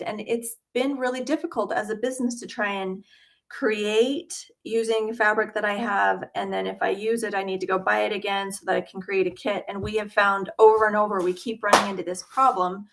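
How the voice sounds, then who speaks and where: A woman's voice: medium pitch, fairly bright tone. A middle-aged woman from Utah hosting a casual livestream from her home studio, chatty and full of ums and uhs. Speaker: quilting channel host.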